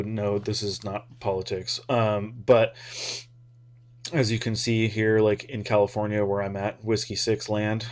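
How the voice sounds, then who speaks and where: An American man with a fairly low voice talks casually through his points as a hobby video host. His delivery is flat in pitch, informal and a little hesitant, wandering along as he thinks aloud. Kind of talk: casual, rambling explainer talk.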